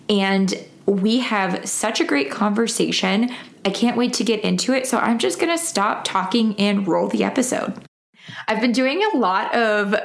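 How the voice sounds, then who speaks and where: A woman's voice: mid-range voice, gravelly. A woman hosting a podcast, talking in a casual, upbeat and eager way. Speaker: podcast host.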